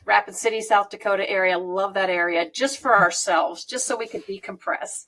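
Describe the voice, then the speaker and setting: A woman's voice: mid-range voice, fairly even intonation, moderate pace, a bit bright, rough recording. A woman in her fifties from the United States, chatting casually, rambling and laughing often. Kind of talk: casual chat.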